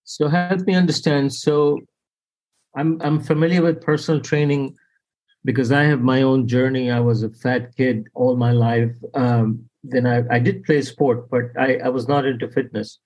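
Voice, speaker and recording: Male podcast host, mid-pitched voice, informal, stumbling delivery. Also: steady tone, normal speed, a little mellow, poor audio. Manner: loose, restarts sentences. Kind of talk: casual interview.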